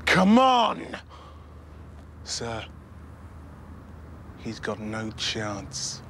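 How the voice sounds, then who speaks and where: A man's voice: medium pitch, normal speed, close to an everyday voice. Undercover police sergeant, male actor: mid-range, streetwise, blunt, sceptical, crime drama, noticeable background noise. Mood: scornful, heated. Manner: blunt, slangy, challenging. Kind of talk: naturalistic TV crime drama acting.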